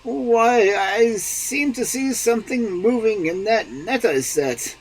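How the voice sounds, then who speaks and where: A man voicing a meek old peasant husband in a storybook reading, mild and protesting, with a husky voice. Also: sits high, takes it slowly, pitched above the performer's own voice, heavily acted.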